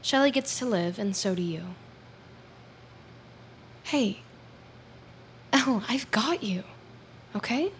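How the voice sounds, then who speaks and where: A sly, manipulative woman in an audio drama. Her voice is on the high side and unhurried, coolly sardonic and coaxing, with a callous edge. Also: expressive, slight background noise.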